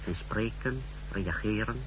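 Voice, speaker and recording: A man's voice: fairly low pitch, slow. An actor playing a formal, precise secret service technician reporting to his superior in a 1960s radio drama. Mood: clinical, formal. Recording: noisy, humming 1960s radio recording.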